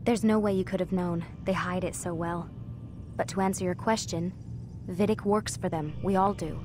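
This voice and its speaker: An actress playing an earnest, guarded young scientist in a video game, explaining things in a measured, confiding way. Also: female voice, fairly low pitch, fairly even intonation, over music, natural voice. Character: earnest, guarded young female lab scientist, quietly sympathetic. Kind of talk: naturalistic video game dialogue.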